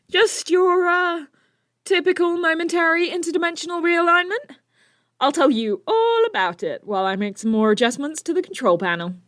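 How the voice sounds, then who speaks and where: A woman's voice: extremely high pitch, very animated, unhurried, dry recording, studio quality, exaggerated voice. A woman playing a brainy, self-assured teenage superhero with a friendly, nerdy manner in an audio drama. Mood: breathless relief.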